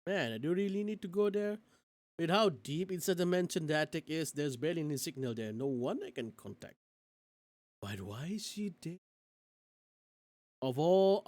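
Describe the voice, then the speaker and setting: A woman's voice: fairly low pitch, a little mellow. A woman reading a game aloud on a stream, theatrical, with casual asides and exclamations. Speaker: streamer playing a visual novel.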